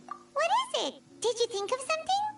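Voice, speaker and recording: A woman voicing a tiny, childlike floating companion in a video game, eager and curious, with a squeaky voice. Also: sing-song, brisk, vocal fry, recorded from a distance, over music, full character voice.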